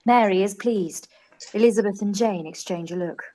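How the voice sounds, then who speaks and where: A woman's voice: mid-range voice, normal speed, mellow, poor audio. A woman reading scripted stage narration for a live-read radio play.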